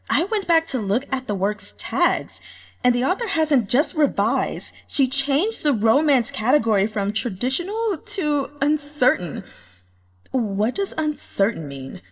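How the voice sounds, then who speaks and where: Casting: gushing young fangirl voiced by a woman, high, very animated, squealing and exclamatory, audiobook comedy beat. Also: slightly rough, in a large hall, full character voice. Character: excitable gushing young female online novel readers, chattering comments.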